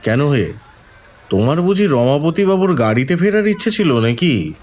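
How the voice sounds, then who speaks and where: A man playing a shrewd, calm detective in an audio drama, musing in a deliberate, wry manner. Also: fairly high pitch. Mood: wry, teasing.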